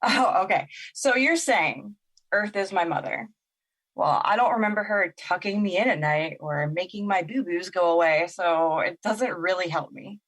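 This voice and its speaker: A woman's voice: on the low side, moderate pace, fairly bright tone, rough recording. A woman playing a bitter, sarcastic young woman in a naturalistic audio drama, exasperated in manner. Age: young adult.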